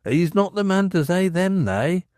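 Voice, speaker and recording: A man voicing a sly male innkeeper who flatters and teases in a smooth-talking way. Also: high-pitched, measured pace, dry recording, clean studio sound, voice pushed up. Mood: flattering.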